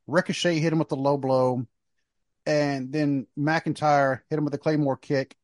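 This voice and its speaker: A man's voice: a little high, fairly even intonation, moderate pace, a little mellow, no room sound, studio quality. A man hosting a sports podcast, chatting casually and giving his opinions in a conversational way. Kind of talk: casual wrestling discussion.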